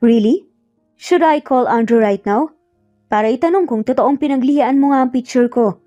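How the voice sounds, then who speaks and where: A woman's voice: high voice, moderate pace, warm tone, muffled recording, faint music behind, natural voice. A woman voicing a serious, demanding man in a romance story, speaking in a firm, pressing, challenging manner. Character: serious, demanding adult man confronting his former lover. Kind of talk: dramatic romance audio storytelling.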